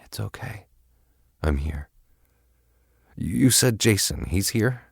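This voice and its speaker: A man playing a gentle, grieving middle-aged father in an audio drama, speaking softly and haltingly to console. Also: lowish voice, very animated, vocal fry, breathy edge, no room sound, studio quality, voice pushed up, full character voice. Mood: tender, sorrowful. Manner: soft, halting, tender.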